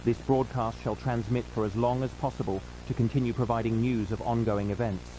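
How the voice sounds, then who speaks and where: A man's voice: medium pitch, fairly even intonation, a little fast, noisy background. A man reading a scripted emergency news announcement in a formal, solemn manner as a broadcast announcer.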